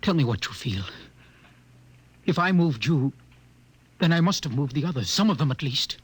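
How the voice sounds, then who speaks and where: An actor playing a passionate, defiant young outcast rebel in a 1950s radio drama, speaking in an impassioned, oratorical way. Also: male voice, on the high side, animated, normal speed, long reverb tail, natural voice. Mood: tender, intense.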